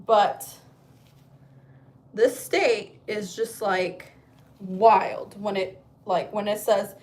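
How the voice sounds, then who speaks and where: A woman's voice: medium pitch, fairly even intonation, extremely slow, darkish tone. A woman in her thirties, from Chile, chatting casually on a livestream from home, rambling and swearing freely. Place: at home, indoors.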